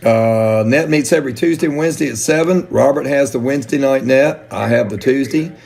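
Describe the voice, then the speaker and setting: A man's voice: medium pitch, brisk, creaky, a little mellow. A man working as net controller, calling a procedural roll call on a ham radio net.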